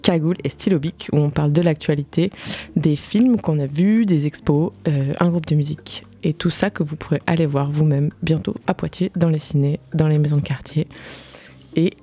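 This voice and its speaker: A woman's voice: deep voice, moderate pace, dark tone, husky. A woman hosting a casual culture show on the radio, chatting informally and improvising with hesitations. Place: radio studio.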